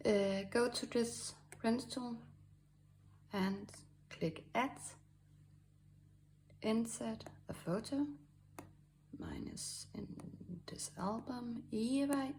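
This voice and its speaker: A woman with a Danish accent talks through a drawing lesson at an unhurried pace, pausing often with fillers. Her voice has a gravelly edge, and a faint hiss sits under the recording. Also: on the high side, sing-song. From Denmark. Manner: halting, many fillers, soft asides. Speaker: art tutorial host. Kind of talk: screen-recorded drawing tutorial.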